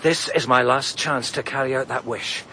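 An earnest, urgent protector. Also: male voice, medium pitch, fairly even intonation, normal speed, pushed and bright, a little hiss or hum. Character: earnest protective man, determined to help. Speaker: McWhirter, amateur investigator. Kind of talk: BBC radio drama acting.

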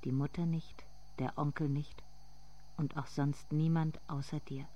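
A haunted inner narrator in a literary radio play , voiced by an actor. The voice is dark and muted, with a slightly dull sound. It tells its memories in deliberate, intense fragments, addressed to itself. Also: medium pitch, little pitch movement, slight background noise, close to an everyday voice. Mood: brooding, restrained. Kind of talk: literary radio drama monologue.

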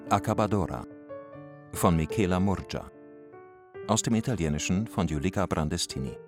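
A male announcer reads the titles of a radio play in standard German. His voice is very low, with music clearly playing behind him. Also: lively intonation, normal speed. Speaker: credits announcer.

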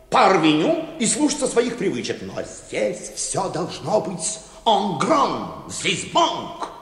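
An actor playing a vain, self-pleased bank chairman in a radio play, pompous and fussily familiar in a theatrical way. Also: a little high. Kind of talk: theatrical Russian radio drama acting. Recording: echoey hall with long reverb.

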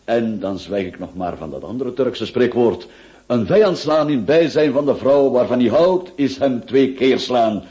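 Guy doing a suave teasing gent in a radio play, soft dark voice, very melodic, lo-fi. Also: medium pitch, expressive, unhurried, lightly acted. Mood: drily teasing. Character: urbane, teasing gentleman friend quoting proverbs. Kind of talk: Dutch-language radio play acting. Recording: dull lo-fi radio recording.

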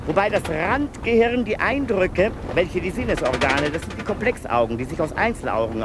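A fussy male asylum inmate holding forth on insects like a lecturer. His fairly high voice leaps up and down as he recites. A steady hiss of noise runs under the radio play recording. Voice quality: pedantic, lecturing, with sudden pitch swings. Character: male asylum patient lecturing obsessively on beetles. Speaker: patient narrator. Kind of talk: 1990s radio play acting.

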